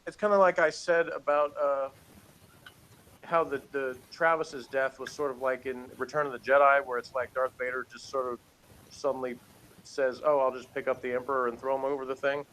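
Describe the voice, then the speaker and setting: A man's voice: mid-range voice, little pitch movement, normal speed, a bit bright, poor audio. A man giving a casual, opinionated review as a podcast co-host, rambling and emphatic in an exasperated way.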